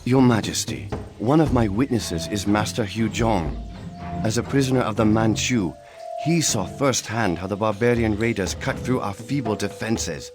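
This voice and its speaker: A deep, stately court minister of old Korea, played by a man. He speaks with formal, measured dignity and loyal gravity, as in a historical game cutscene. Music plays clearly behind him. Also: lightly acted. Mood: grave, earnest. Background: orchestral game music.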